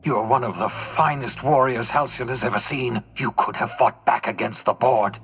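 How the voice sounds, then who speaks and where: An actor playing a despairing, defiant older fugitive scientist in a video game, theatrical and swinging from bitter to pleading. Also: male voice, mid-range voice, fairly even intonation, moderate pace, gravelly, fairly bright tone, breathy edge, full character voice. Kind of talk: dramatic video game acting.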